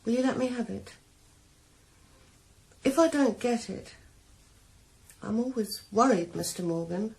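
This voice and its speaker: A woman's voice: fairly high pitch, animated, unhurried, pauses often, darkish tone. An actress playing an anxious young woman pleading for help in a 1980s radio drama.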